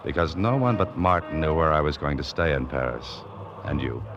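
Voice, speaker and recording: A man's voice: extremely low pitch, expressive, normal speed. An actor with an American accent playing a cool, wry, tough adventurer who narrates his exploits in terse slang in a radio mystery. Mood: cool, pressing. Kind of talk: 1940s radio mystery acting, hardboiled narration. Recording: old radio recording, music behind, muffled.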